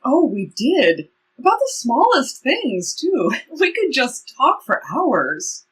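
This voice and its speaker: A woman's voice: high voice, wildly swooping pitch, slow pace, full character voice, low-quality recording. A woman playing a very old, polite and wistful woman in a stage play reading.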